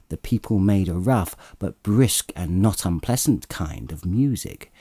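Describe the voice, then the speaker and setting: Expressive male storyteller, low and dark-toned. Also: no room sound, studio quality. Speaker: audiobook narrator. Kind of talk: expressive literary storybook narration.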